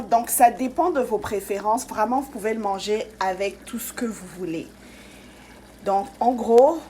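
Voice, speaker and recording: Brief: woman cooking-channel host from Congo-Kinshasa, mid pitch, casual step-by-step chat, faint kitchen noise. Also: darkish tone. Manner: chatty, step-by-step, many fillers.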